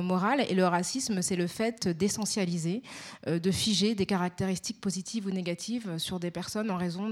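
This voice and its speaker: A young woman with a pronounced Parisian accent, answering interview questions in a measured, articulate way with frequent hesitations. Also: lowish voice, darkish tone. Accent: Parisian French. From Paris, France. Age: young adult.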